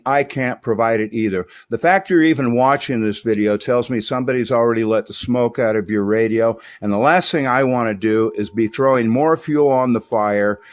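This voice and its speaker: A middle-aged or older man narrating an informal technical demonstration as a repair technician, plain-spoken and folksy with plenty of slang. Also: mid-range voice. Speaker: host, radio repair technician.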